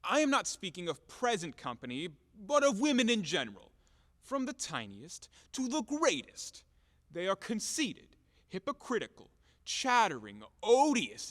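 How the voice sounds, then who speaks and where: An actor playing a blustering, hot-tempered boor in an audio play, loud and declamatory, scornful and bombastic. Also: male voice, high voice, exaggerated voice. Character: blustering, hot-tempered, boorish man demanding repayment, cynical about women. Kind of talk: theatrical audio play acting. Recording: echoey hall-like reverb.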